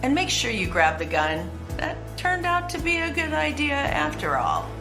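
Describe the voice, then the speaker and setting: A woman's voice: sits high, wildly swooping pitch, normal speed, heavily acted. A woman playing a wary, grumbling band member in a comedy radio play. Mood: urgent. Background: faint music.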